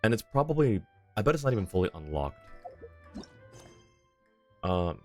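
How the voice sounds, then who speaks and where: A man with a low-pitched voice talks casually as he hosts a gaming livestream. He is friendly and quick to apologise, and music plays behind him. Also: sing-song, a little mellow. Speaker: livestream host, gamer. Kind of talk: casual game stream chat, reading chat and game dialogue aloud.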